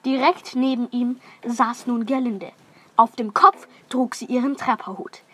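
A woman's voice: a little high, steady tone, a little slow, pushed and bright, breathy edge. A woman reading scripted narration for a children's radio play. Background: music.

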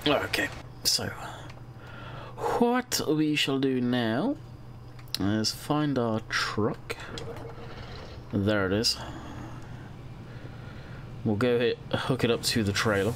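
A man's voice: mid-range voice, animated, slow pace, pauses often, background noise. A young man with a stuffy, congested voice, chatting casually as a gaming channel host and thinking aloud while he plays. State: congested from a cold. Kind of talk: casual let's-play commentary.

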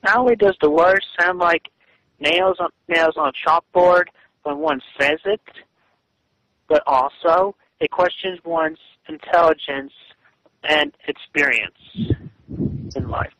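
A man in a halting phone conversation, speaking in a stilted, deliberate way and repeating himself, over a muffled line. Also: a little high, fairly bright tone, a little hiss or hum. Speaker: person on a phone call.

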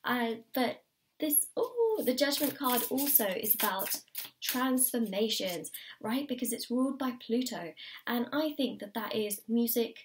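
Slightly croaky woman reading tarot. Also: fairly high pitch, sing-song, unhurried, recorded from a distance. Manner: encouraging, repeats phrases, addresses viewer directly. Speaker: tarot reader. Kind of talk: pick-a-card tarot reading to camera. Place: indoors at a table.

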